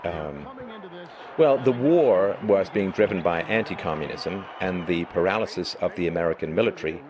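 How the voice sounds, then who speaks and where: A middle-aged man speaks in a very low, hoarse voice and lectures emphatically in long spoken runs. His stressed points jump up in force. A crowd murmurs behind him. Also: moderate pace. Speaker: live stream host. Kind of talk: monologue commentary.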